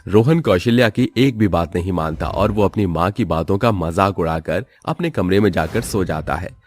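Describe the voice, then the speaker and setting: A man's voice: sits low, moderate pace, a little mellow. A man narrating a scripted horror moral tale as a storyteller.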